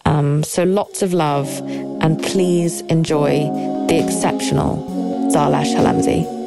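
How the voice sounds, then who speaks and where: A woman's voice: deep voice, steady tone, slow, vocal fry, over loud music. A woman hosting an interview podcast, introducing her guest effusively and speaking appreciatively. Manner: warm-hearted, effusive, appreciative. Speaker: podcast host.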